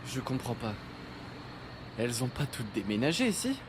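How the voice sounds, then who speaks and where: A man's voice: medium pitch, big pitch swings, moderate pace, background noise. A man voicing a shy, lonely teenage boy who has just moved to a new town; he talks hesitantly. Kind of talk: French audio drama.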